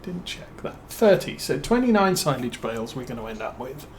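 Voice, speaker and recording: A man with a British accent giving relaxed let's-play commentary as a streamer, musing and thinking aloud with plenty of fillers. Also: mid-range voice, normal speed, slight background noise. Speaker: game streamer.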